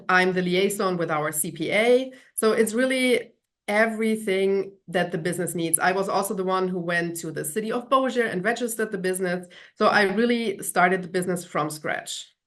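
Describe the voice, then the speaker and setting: A woman's voice: mid-range voice, normal speed, a bit bright. A woman from Germany with a German accent, answering conversationally as a business-owner guest in an interview.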